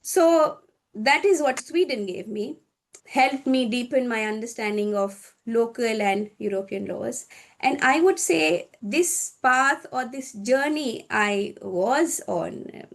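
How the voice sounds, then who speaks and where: A woman from India, answering interview questions in a measured, explanatory way; her is Hindi. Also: a little high, gravelly. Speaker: guest, corporate lawyer.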